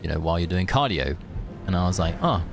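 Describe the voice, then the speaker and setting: Man, low voice, casual game streamer, rambling friendly asides, game music underneath. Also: lively intonation, quick pace, creaky. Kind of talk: casual live gaming stream chat. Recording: music behind the voice.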